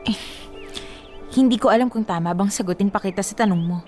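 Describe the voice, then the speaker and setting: A woman's voice: medium pitch, fast talker, a little mellow, faint music behind, close to an everyday voice. An actress playing a nervous young woman girlfriend in a radio drama, defensive and uneasy. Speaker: supporting character.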